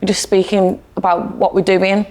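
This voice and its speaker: A woman's voice: mid-range voice, flat delivery, moderate pace, darkish tone. A woman with a British accent, a podcast guest speaking candidly and matter-of-factly about her own life. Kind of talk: personal testimony in conversation.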